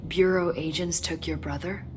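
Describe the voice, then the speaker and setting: Actress as an inquisitive woman scientist, lowish voice. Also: steady tone, normal speed, noisy background, lightly acted. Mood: curious. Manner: inquisitive, explanatory. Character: earnest, inquisitive woman scientist at a secret bureau. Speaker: game character, researcher. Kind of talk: naturalistic video game dialogue.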